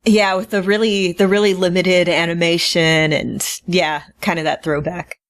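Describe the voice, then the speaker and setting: A woman's voice: lowish voice, level tone, normal speed, no echo. A woman co-hosting a podcast in casual conversation. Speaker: podcast co-host. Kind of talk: casual chat.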